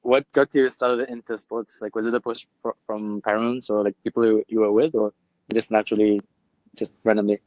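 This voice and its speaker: A man's voice: fairly low pitch, flat delivery, fairly quick, a little mellow, no room sound, low-quality recording. Casual young man on earbud mic. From Brussels. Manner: casual, filler-heavy, friendly questions. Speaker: podcast host. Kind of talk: casual interview hosting. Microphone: earbud microphone. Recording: dry close earbud mic.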